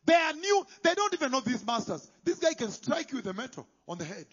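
A man preaching a sermon, emphatic, moving between loud declarations and hushed whispers. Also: very high voice, very animated, a little fast, husky. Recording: dull lo-fi sound.

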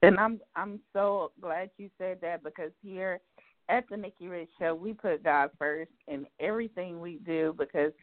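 A woman's voice: lowish voice, moderate pace. A woman from South Carolina hosts a talk show, enthusiastic and chatty as she asks her questions. Her voice comes through a narrow, phone-like line. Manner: enthusiastic, laughs often. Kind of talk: casual interview chat.

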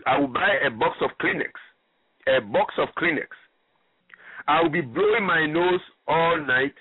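A man's voice: fairly high pitch, breathy edge, no room sound. Middle-aged man from Gabon, native French speaker; repetitive, emphatic storyteller, dry close sound. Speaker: guest. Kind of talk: personal story on call-in radio. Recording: tinny phone line.

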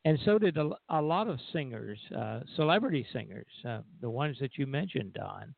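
A man's voice: medium pitch, huge pitch swings, normal speed, vocal fry, no echo. An older man co-hosting a radio show, reminiscing casually in a hesitant, meandering way. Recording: dry, narrow phone line.

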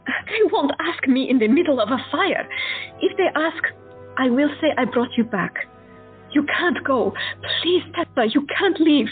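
A brave, caring female doctor speaks firmly and protectively, played by a woman. Her voice is high. It comes through tinny and narrow, with soft music underneath. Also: expressive, a little slow. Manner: firm, protective. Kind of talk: audio drama acting.

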